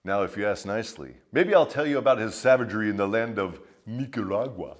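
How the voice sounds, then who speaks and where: Animated, swaggering male storyteller. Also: lowish voice, a little fast. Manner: theatrical, swaggering, crude. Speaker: host of a military storytelling show, a first sergeant. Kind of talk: dramatic scripted storytelling with profane humour.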